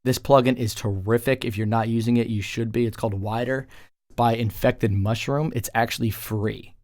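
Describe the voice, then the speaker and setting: A man's voice: medium pitch, steady tone, normal speed, a little mellow, dry recording, clean studio sound. A man talking casually as a music producer, explaining his work in an informal tutorial voice-over. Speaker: music producer presenting a track breakdown.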